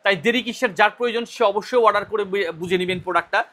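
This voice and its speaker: A man with a Bangladeshi accent and a notably high-pitched voice presents a product for an online shop. He delivers an informal sales pitch, explaining features and often restating them in other words. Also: fast talker, pushed and bright.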